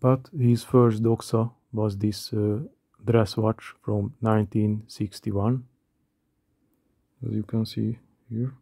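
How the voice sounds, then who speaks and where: Hungarian-accented man in his thirties, dry close voice-over, slow and self-mocking. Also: fairly low pitch, level tone, creaky, warm tone, breathy edge, dry recording. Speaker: watch collector. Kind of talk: scripted voice-over narration.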